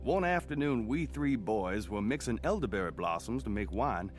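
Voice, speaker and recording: Man as an animated, folksy storyteller. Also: fairly low pitch, normal speed, soft background music, close to an everyday voice. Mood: reminiscing. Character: plainspoken country man recalling his hill boyhood. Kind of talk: dramatized first-person radio narration.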